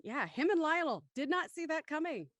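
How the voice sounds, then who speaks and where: Female host, higher register, close dry sound, playful self-deprecating banter. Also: sing-song, moderate pace, no echo. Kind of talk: live show hosting, casual banter.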